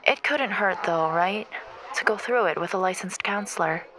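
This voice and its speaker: A woman playing a blunt, cheeky, loyal best friend in a naturalistic audio drama, teasing and expressive. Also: medium pitch, moderate pace, close to an everyday voice, poor audio. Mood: encouraging.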